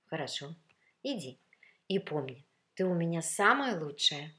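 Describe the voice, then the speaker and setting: A woman's voice: low voice, sing-song, a little slow, bright, forceful tone, heavily acted. A woman voicing a tender, doting man who coaxes and reassures his beloved, in a story reading. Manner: cooing, coaxing.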